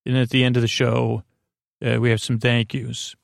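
Guy podcast host rambling at bedtime, creaky voice, keeps wandering off track, very dry sound. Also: medium pitch, monotone, dry recording. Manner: meandering, halting, trails off and loses his thread. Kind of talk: rambling, improvised bedtime monologue.

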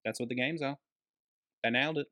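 A man's voice: mid-range voice, fairly quick, bright, forceful tone. Blokey, emphatic Australian man, podcast co-host. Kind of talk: casual film podcast chat.

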